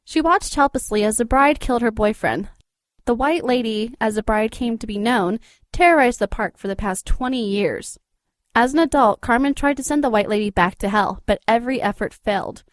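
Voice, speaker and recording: A female narrator delivering a scripted recap. Her voice sits fairly high and is recorded close and dry, with a measured, expository delivery. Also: no echo.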